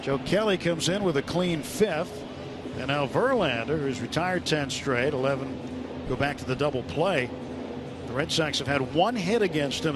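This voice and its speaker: A man working as a radio play-by-play announcer, giving live baseball commentary from a ballpark. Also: on the high side. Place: outdoor ballpark broadcast booth. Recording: noisy open-air stadium broadcast.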